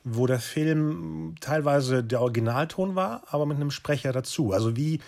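A man's voice: on the high side, moderate pace, creaky, darkish tone. Man chatting casually as podcast host. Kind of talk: casual chat.

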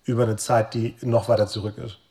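A man's voice: on the low side, flat delivery, fairly quick, warm tone, slightly rough. A man hosting a podcast in a casual, conversational chat style. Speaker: podcast host.

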